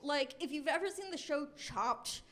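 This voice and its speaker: A woman's voice: sits high, animated, moderate pace, a bit bright. A young American woman in her twenties performing a live stand-up comedy routine in a chatty, self-deprecating storytelling manner. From Los Angeles, United States. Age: 20s. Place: comedy club stage with live audience. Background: audience laughter.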